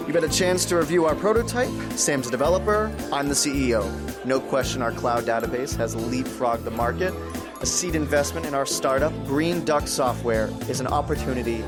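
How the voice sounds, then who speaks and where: Actor as pushy young startup founder, music underneath. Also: male voice, medium pitch, brisk, natural voice. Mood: eager, pitching. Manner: cocky salesman patter, combative. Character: pushy, volatile young male startup founder turned gunman. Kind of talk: audio drama acting.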